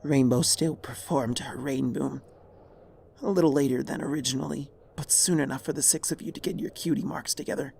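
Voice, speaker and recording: A man voicing a dying, regretful pony mare, a remorseful former tyrant, in a weary, halting, husky voice for a dramatic story. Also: medium pitch, fairly even intonation, a little mellow, a little hiss or hum, pitched above the performer's own voice, lightly acted. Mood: weary, grave. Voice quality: raspy, husky, creaky.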